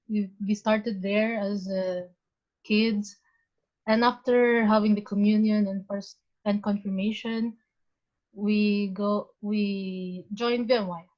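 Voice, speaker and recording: A nineteen-year-old woman introducing herself to a podcast as a student, somewhat hesitantly. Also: mid-range voice. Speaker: guest, business student. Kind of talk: casual self-introduction. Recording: dull lo-fi sound.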